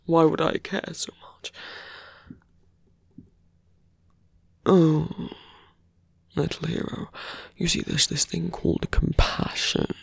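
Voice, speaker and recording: A man acts out a sardonic, seductive fantasy villain in an ASMR roleplay. His voice is breathy and husky, heavy with fry. He murmurs slowly and intimately, very close to the microphone in a dry recording. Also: fairly high pitch, no room sound, heavily acted. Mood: teasing, intimate.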